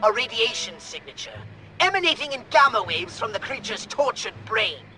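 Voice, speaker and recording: A small, scheming alien commander, very high and harshly bright with a gravelly rasp. He is pompous, sarcastic and easily exasperated, in cartoonish video game style over soft music. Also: big pitch swings, moderate pace, full character voice. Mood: pompous, pondering.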